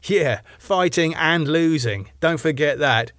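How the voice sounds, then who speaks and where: A man's voice: a little high, slow, fairly bright tone, dry recording, voice pushed up. A man reading an audiobook, voicing an agitated, defiant man who sounds haunted and bitterly sarcastic. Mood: angry, accusing. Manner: stammering, sweary, snapping outbursts. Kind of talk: audiobook character dialogue.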